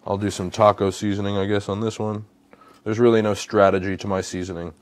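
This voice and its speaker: A man's voice: on the low side, flat delivery, a little fast, slight background noise. A man in his thirties with an American accent, chatting conversationally in a casual vlog and repeating phrases for emphasis. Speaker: lifestyle vlogger. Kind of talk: casual vlog chat while cooking. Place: home kitchen, indoors.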